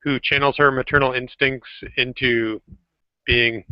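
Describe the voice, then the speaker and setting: Male chat participant, mid-pitched, conversational, close dry sound with a dull internet-call finish. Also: fairly even intonation, unhurried, clean tone, dry recording. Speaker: participant in an online hangout. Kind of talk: casual group chat. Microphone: online voice call.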